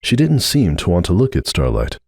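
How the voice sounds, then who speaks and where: A male narrator giving a dramatic reading of a story. His voice is on the low side with highly varied intonation, recorded very dry. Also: huge pitch swings, brisk, vocal fry, darkish tone, slightly rough, dry recording. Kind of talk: dramatic audiobook narration of fan fiction.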